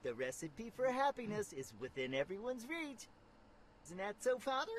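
A man's voice: sits high, very animated, normal speed, slight background noise. An actor playing a naive, dreamy young boy in a video game cutscene, stammering and eager to please. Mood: eager, admiring.

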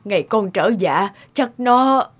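A woman playing a gentle, wise elderly mother in a cartoon, giving counsel. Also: mid-range voice, lively intonation, slow, noisy background. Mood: tender, reflective. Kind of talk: cartoon melodrama dubbing.

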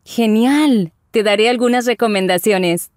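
A woman voicing Isabella, a friendly young traveler in a scripted lesson dialogue, speaking with clear careful enunciation. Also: fairly high pitch, unhurried, a little mellow, no room sound, clean studio sound, lightly acted. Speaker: voice actor in language lesson dialogue. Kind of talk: scripted slow and normal-speed lesson dialogue.

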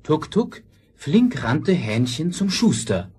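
Animated, measured male storyteller. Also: on the high side, breathy edge. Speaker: storyteller, narrator. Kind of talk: scripted fairy-tale narration. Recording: old record with noise, clicks.

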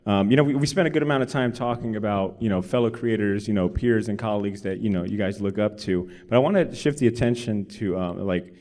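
Mellow-voiced American male panel moderator. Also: lowish voice, fast talker, hardly any pauses. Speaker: podcast host moderating a panel. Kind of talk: conversational interviewing. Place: convention panel room, standing on a stage by a podium. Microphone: handheld microphone.

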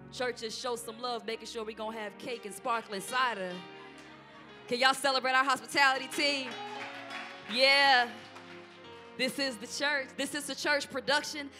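A woman pastor hosting a church service on a handheld mic, exuberant, calling out to the congregation for responses. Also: sits high. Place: church stage, indoor. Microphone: handheld microphone. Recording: live church audio with music behind.

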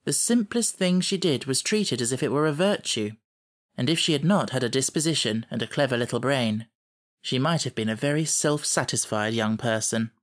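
A man's voice: mid-range voice, moderate pace. A man reading a children's novel aloud as scripted audiobook narration.

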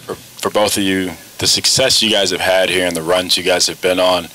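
Deep-voiced male reporter, long questions. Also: little pitch movement, brisk, pushed and bright, poor audio. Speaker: sports reporter. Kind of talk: press conference question.

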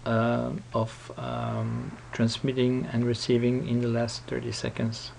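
A man giving an unscripted software tutorial, explaining step by step in a halting, repetitive way. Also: medium pitch, completely flat delivery, creaky. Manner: explanatory, halting, repeats himself. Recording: noticeable background noise.

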